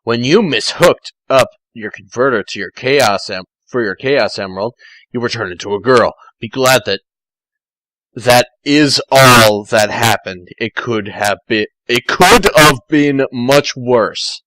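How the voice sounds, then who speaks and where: A man voicing a gruff, scornful cartoon echidna guardian, speaking in a slow, halting, condescending way. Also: medium pitch, fairly bright tone, no echo, lightly acted, rough recording.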